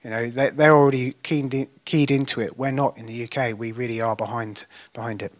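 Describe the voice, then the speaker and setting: A man is taking part in a panel discussion, speaking unscripted. His voice is of middling pitch with a sharp, bright edge that gives it force. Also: fairly even intonation, fairly quick.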